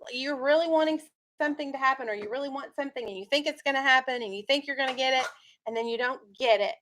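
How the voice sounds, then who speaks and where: High-pitched woman teaching a class. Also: fast talker, fairly bright tone. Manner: instructive, questioning, checking understanding. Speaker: elementary school teacher. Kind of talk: classroom reading discussion. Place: school classroom.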